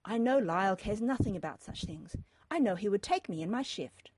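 A woman's voice: mid-range voice, expressive, fairly quick, background noise, lightly acted. A woman voicing a wily young heiress in a historical romance reading, dainty, haughty and affectedly sorrowful. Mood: prim.

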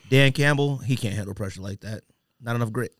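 Man, podcast host, casual banter, average-pitched voice, dry close sound. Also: sing-song, moderate pace, dry recording.

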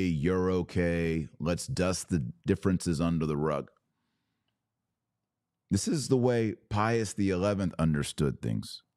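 Male podcast host for opinionated religious commentary: slow, deliberate, punchy phrasing, emphatic and dogmatic, in a dry studio-like sound. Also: low voice, a little mellow, dry recording.

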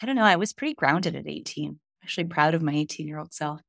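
A woman in her thirties, a real estate investor, talking upbeat and quick to laugh as an interview guest. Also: low voice, lively intonation, gravelly, no room sound, studio quality. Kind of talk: casual interview chat.

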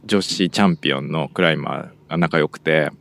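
A man's voice: extremely low pitch, racing, creaky. A man hosting a podcast, chatting casually and nodding along with short murmured replies. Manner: relaxed, frequent short backchannels. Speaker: podcast host. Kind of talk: casual chat.

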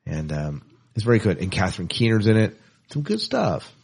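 A middle-aged man with a fairly low voice and a marked husky rasp. He sounds like a podcast host in casual conversation, opinionated about what he has watched. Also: wildly swooping pitch, moderate pace, a little mellow. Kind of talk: casual movie news chat.

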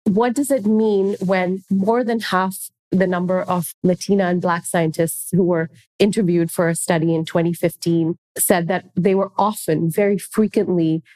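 A woman's voice: on the low side, steady tone, normal speed, hardly any pauses, a little mellow, low-quality recording. A woman speaking on a panel, making her case through pointed rhetorical questions. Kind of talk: panel discussion.

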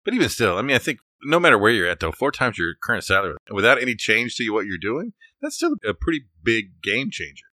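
A playful man co-hosts a casual podcast chat. His voice is very bright and sharp, and his pitch swings widely as he jokes. The recording is dry and free of room sound. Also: sing-song, fast talker, hardly any pauses, dry recording. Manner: joking, playful. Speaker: podcast co-host.

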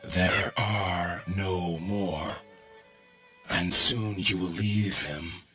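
A man voicing a slow, cold alien conqueror. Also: sits low, fairly even intonation, sharp tone, breathy edge, faint music behind, exaggerated voice. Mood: cold, solemn. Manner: slow, grave and solemn. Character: cold, weary alien conqueror from deep space. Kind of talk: storybook reading.

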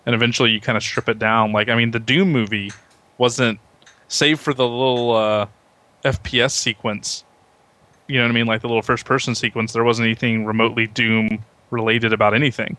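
A man's voice: mid-range voice, little pitch movement, a little fast, sharp tone. A man hosting a podcast, talking through his points in a casual group discussion and often rephrasing as he thinks aloud. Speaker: podcast host moderating a discussion.

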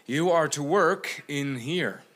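An actor playing a surly, laconic night security guard in a comedic audio drama, indifferent and unhelpful. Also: male voice, fairly high pitch, expressive, slow pace, a bit bright, heavily acted.

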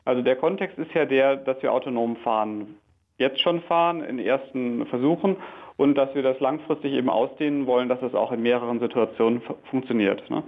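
A man explaining deliberately as an interviewed researcher, often adding small tag questions, over a thin line. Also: medium pitch. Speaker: academic researcher being interviewed. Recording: thin narrow phone-like line.

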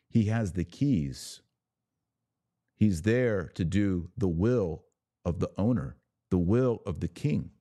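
A man's voice: fairly low pitch, mellow, no echo, clean studio sound. A man hosting a religious commentary podcast, speaking to listeners in an emphatic, didactic way. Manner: emphatic, didactic, explaining by analogy.

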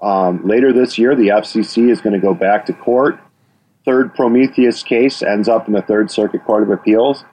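A man's voice: lowish voice, a little mellow, low-quality recording. A man from Wisconsin with a Wisconsin accent, talking as an interview guest and stressing his points with repeated phrases. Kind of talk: interview about radio policy.

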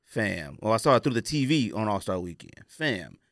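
A man with an animated, wide-ranging pitch, recorded dry and close. He chats as a casual podcast co-host, slangy and opinionated. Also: normal speed, no echo. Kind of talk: casual banter about sneakers.

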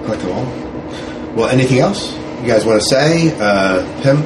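A man's voice: medium pitch, lively intonation, a little slow. A man hosting a podcast, casually interviewing his guests. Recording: very noisy room, air-conditioning hum.

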